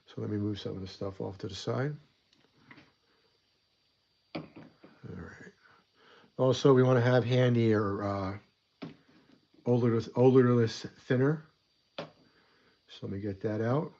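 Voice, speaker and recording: A man's voice: fairly low pitch, fairly even intonation, a little slow, gravelly, long pauses, dark tone, lo-fi sound. Chatty man with a stuffy cold. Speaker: model weathering host. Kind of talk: casual hobby tutorial. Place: hobby desk.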